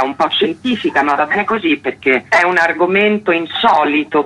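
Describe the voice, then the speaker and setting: A man's voice: sits high, pushed and bright, poor audio. A man explaining his work in a radio interview, speaking articulately and earnestly at length. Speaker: book author interviewed about an essay collection.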